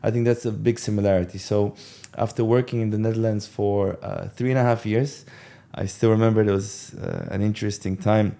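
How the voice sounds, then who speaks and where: A man in his forties, a guest on an interview podcast, telling a long story in a relaxed, rambling way with many fillers and asides. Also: lowish voice, fairly quick, vocal fry, few pauses. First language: English. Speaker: podcast guest, company co-founder.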